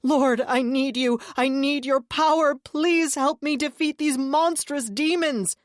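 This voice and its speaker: A woman's voice: high voice, a little slow, no echo, clean studio sound, pitched above the performer's own voice. A woman voicing a manic, terrified young man who screams and prays with a shaking voice. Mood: desperate pleading. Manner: shouting, shaking, frantic.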